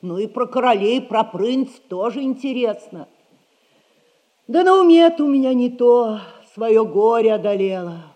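A woman's voice: fairly high pitch, expressive, measured pace, mellow. An actress playing an anxious, pleading middle-aged mother in debt, in a 1960s radio play. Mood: worried. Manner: pleading, fretful. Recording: long stage reverb, 1960s radio play.

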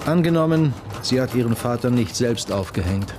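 An actor playing a persistent, matter-of-fact police detective in a radio crime drama. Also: male voice, mid-range voice, animated, moderate pace, background noise, natural voice. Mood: insistent, accusing.

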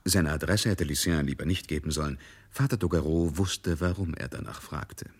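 A man's voice: moderate pace, a little hiss or hum. A man narrating a literary radio drama in a scripted, storytelling style. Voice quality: husky, deep.

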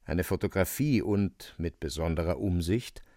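A man narrating a radio drama from a script, in an expressive storytelling style. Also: deep voice, normal speed, dry recording, studio quality.

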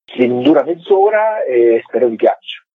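A man being interviewed on the radio, explaining things conversationally and discursively, with a husky voice. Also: high voice, sing-song, measured pace. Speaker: interviewed film director. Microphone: telephone. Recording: tinny, narrow phone line with echo.

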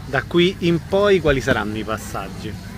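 A man's voice: medium pitch, big pitch swings, moderate pace. A man working as an interviewer, putting brief questions to his guests.

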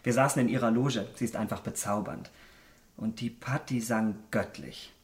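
An actor playing a vain, callous young aesthete in a German radio drama, eloquent and theatrical. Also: male voice, lowish voice, moderate pace, natural voice. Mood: airy, dismissive. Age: young adult.

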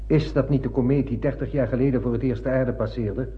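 A man's voice: mid-range voice, fairly even intonation, fast talker, dull audio, lightly acted. Thin reverby old radio play, respectful space officer, a man acting, speaking up to his captain. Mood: deferential, questioning. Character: deferential male officer serving a spaceship captain. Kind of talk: radio drama dialogue.

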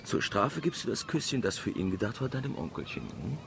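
A man playing a self-assured marine biologist and uncle in a radio drama, jovial, flirtatious and gently mocking. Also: mid-range voice, big pitch swings, brisk, creaky, background noise.

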